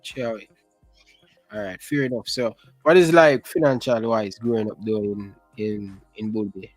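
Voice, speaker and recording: A man's voice: on the low side, fairly even intonation, normal speed, no room sound, soft background music, low-quality recording. A Jamaican man hosting a casual online interview, hyping up his viewers with patois phrases.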